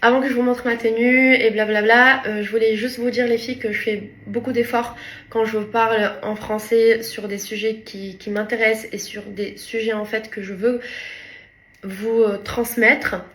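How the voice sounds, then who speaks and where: A woman's voice: fairly high pitch, level tone, moderate pace, fairly bright tone, echoey room. A woman who is not a native French speaker, talking casually to her followers in a vlog and searching for her words in French. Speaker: lifestyle influencer.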